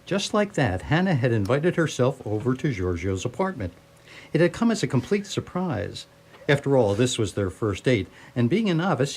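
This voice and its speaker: A man's voice: mid-range voice, darkish tone, husky. A man narrating a scripted radio theatre comedy as a wry, playful storyteller.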